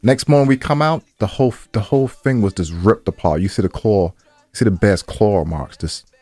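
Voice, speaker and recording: Low-voiced man bantering on a podcast. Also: moderate pace, dark tone, no room sound. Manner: slangy, repeats words and phrases for emphasis. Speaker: podcast co-host. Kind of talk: casual banter and storytelling.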